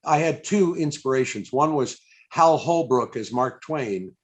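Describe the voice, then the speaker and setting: A man's voice: medium pitch, expressive, a little slow. A man conducting a conversational interview over a video call, with hesitant, stammered starts to his questions. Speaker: interviewer, stage impressionist.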